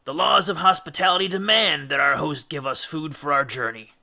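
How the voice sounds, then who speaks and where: A man's voice: a little high, brisk, pushed and bright. A man voicing a proud, diplomatic hero and ship's captain in a children's storybook, commanding and a little pompous. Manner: slightly pompous, commanding, declamatory.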